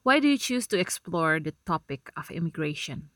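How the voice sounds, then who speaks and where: A woman hosting a podcast, reading a measured, scripted introduction and asking questions. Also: sits low, very animated, fairly bright tone, dry recording. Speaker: podcast host. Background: music.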